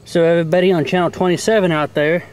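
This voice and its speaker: A man's voice: on the high side, steady tone, fast talker, a little mellow. A man giving a casual hands-on tutorial in a folksy, plain-spoken way, as host of a homemade how-to video. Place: outdoors in and around a car.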